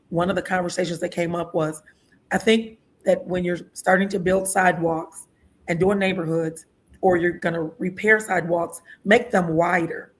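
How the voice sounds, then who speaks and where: A woman in her sixties hosting an interview show, chatty and laughing often. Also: on the low side. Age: 60s. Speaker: host. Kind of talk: casual interview talk.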